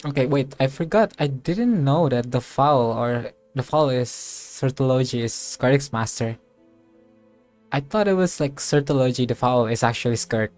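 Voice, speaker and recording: A man giving casual playthrough commentary as a game streamer, musing aloud and thinking things through haltingly. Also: mid-range voice, darkish tone, no echo. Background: game music.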